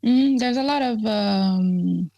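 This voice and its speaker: A woman from Ethiopia, guest on an interview, speaking casually with many pauses, ums and restarts. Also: medium pitch, gravelly, no echo, dull audio. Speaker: activist guest.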